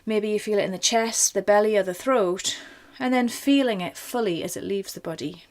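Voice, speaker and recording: A woman leading a guided meditation in a gentle, informal, reassuring manner. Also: mid-range voice, moderate pace. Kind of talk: guided body scan meditation.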